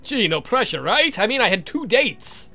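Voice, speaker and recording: A woman's voice: fairly high pitch, big pitch swings, normal speed, pushed and bright, faint music behind. A woman hosting a let's play, reading a visual novel aloud with irreverent, sweary casual asides.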